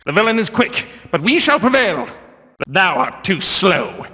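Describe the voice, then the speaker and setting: Casting: fanatical temple guard for a fantasy game, pompous archaic diction, highish bright voice, long reverb. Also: male voice, big pitch swings, unhurried, full character voice. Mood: wrathful, righteous. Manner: pompous, declamatory, archaic phrasing. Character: zealous, stern male religious guard with archaic speech. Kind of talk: video game guard voice lines, theatrical archaic English.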